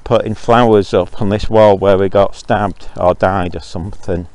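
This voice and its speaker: Opinionated, rambling man, slightly low voice. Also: fairly even intonation, normal speed, darkish tone, no echo. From Zambia. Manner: opinionated and ranting, with frequent ums and restarts. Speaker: podcast host. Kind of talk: casual opinion monologue. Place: outdoors walking dogs.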